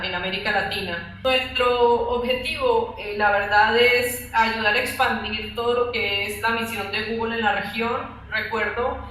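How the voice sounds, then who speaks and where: Bright-voiced woman in an echoey room. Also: a little high. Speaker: technology company executive, interview guest. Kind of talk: explanatory interview answers. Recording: very echoey room, faint background noise.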